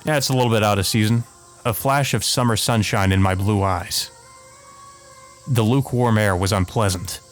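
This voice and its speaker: Let's play host, male, lowish register, narrating a visual novel with casual asides over game music. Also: moderate pace. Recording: music playing behind the voice.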